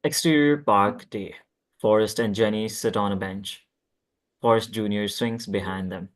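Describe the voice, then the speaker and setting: Low-voiced man reading stage directions. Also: fairly even intonation, measured pace. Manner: plain reading with stumbles. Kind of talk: scripted narration at a table read.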